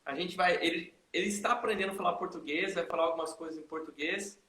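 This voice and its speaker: Upbeat guy hosting a live chat, high voice, greets everyone by name, echoey room. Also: quick pace, crowd noise. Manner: lively, greets viewers by name. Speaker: host. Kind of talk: live video chat, switching to halting English.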